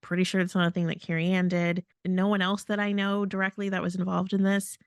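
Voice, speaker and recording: A woman's voice: fairly low pitch, little pitch movement, quick pace, clean tone, no echo, studio quality. A woman co-hosting a podcast in casual chat, speaking in an emphatic, plainspoken way. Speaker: podcast co-host.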